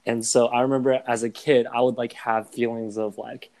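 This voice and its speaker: Young guy hosting a podcast, swears a lot, laid back, plain even voice. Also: mid-range voice, normal speed, low-quality recording. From United States and China. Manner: blunt, swears freely, relaxed. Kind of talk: casual conversation.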